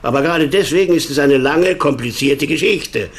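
An actor playing a concerned, moralising police boss in a radio crime drama, admonishing and insistent. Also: male voice, on the high side, moderate pace, lightly acted. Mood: scolding.

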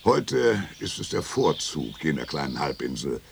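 An actor playing a grumpy, sardonic retired Finnish statesman of sixty-five in a radio play, gravelly and gruff. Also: very deep voice, sing-song, a little slow, full character voice. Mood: sardonic, lecturing. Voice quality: creaky, gravelly. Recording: faint outdoor background noise.

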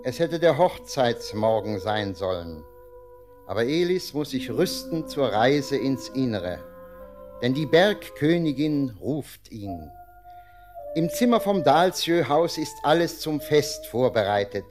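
A man delivers scripted narration for a radio drama over clearly audible music. His pace is very slow and his intonation is animated and expressive. The style is dramatic storytelling. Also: mid-range voice. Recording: music behind the voice.

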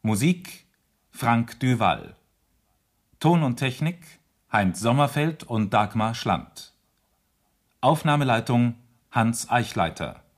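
A man reading scripted radio play closing credits in a formal, measured announcer's manner. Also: medium pitch, big pitch swings.

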